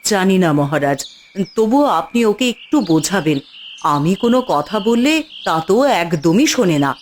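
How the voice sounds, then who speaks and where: A woman's voice: lowish voice, animated, moderate pace, lightly acted. Woman playing an anxious fairy-tale queen. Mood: worried. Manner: worried, pleading. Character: anxious, doting queen and mother. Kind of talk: dramatic cartoon voice acting. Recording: faint noise with chirping birds. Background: birdsong.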